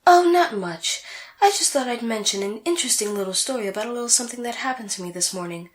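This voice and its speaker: A woman as a haughty, high-voiced anime sorceress, echoey. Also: lively intonation, quick pace, close to an everyday voice. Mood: boastful, haughty. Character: vain, haughty young female sorceress rival from an anime. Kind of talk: comedic anime fan audio drama acting.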